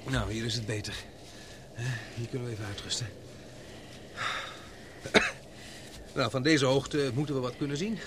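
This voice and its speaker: A man acting in a Dutch radio drama as an anxious, protective adventurer, with halting, dramatic phrasing. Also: mid-range voice, steady tone, natural voice. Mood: relieved, tender. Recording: noisy old radio play, loud background ambience.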